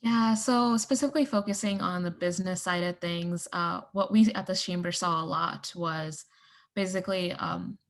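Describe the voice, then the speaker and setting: Woman guest, fairly low voice with fry, measured and explanatory. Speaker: panel guest, communications director. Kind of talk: casual panel talk.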